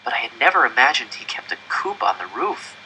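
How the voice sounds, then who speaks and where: Male audiobook narrator, very bright forceful tone, dramatic read, faint background noise. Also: medium pitch, very animated, a little fast, poor audio. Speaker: audiobook reader. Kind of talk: scripted first-person story narration, expressive read-aloud.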